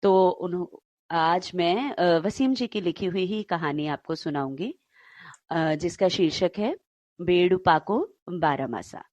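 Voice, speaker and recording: A woman co-hosting and telling stories to listeners in an unhurried way. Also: lowish voice, animated, darkish tone, dry recording, dull audio. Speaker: co-host and storyteller.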